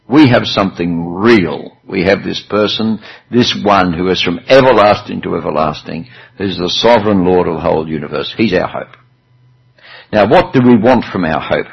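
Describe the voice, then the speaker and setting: A man with a low, gravelly and husky voice preaching a chatty sermon, with dry self-deprecating humor. The sound is dull and lo-fi, as if recorded in a church hall.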